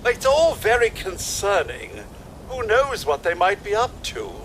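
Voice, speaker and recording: An actor voicing a polite, fussy robot butler in a video game, speaking in a formal, genteel, wordy manner with kindly worry. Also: male voice, high-pitched, sing-song, unhurried, fairly bright tone, slight background noise, heavily acted, poor audio. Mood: concerned. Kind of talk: scripted video game dialogue.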